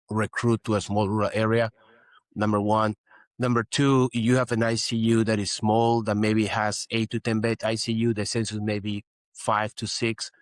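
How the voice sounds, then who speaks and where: A middle-aged man from Peru speaks in a low voice, explaining his work earnestly and patiently. The recording is dry and close, with no room sound. He leans on "you know" as he talks. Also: no echo. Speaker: guest physician. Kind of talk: interview conversation.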